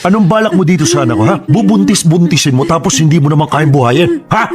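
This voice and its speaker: A man's voice: a little high, quick pace, close to an everyday voice. An actor playing a furious, domineering middle-aged Filipino father, scolding and badgering in a radio drama. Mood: scornful, angry. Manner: scolding, confrontational, badgering with repeated questions. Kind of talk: Tagalog radio drama acting.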